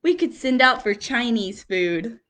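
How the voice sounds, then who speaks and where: A woman's voice: fairly high pitch, animated, unhurried, a bit bright. A woman voicing an eager little boy who begs his father for pets, in a raised, pleading voice.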